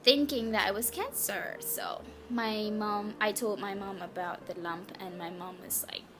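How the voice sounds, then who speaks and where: A woman's voice: medium pitch, fairly even intonation, unhurried, background noise. A nineteen-year-old woman from Malaysia, a native Malay speaker, talking in a casual, hesitant and apologetic way with a Malaysian English accent. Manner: hesitant, chatty, apologetic, with many restarts. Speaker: vlogger sharing her cancer story. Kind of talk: casual personal vlog. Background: background music.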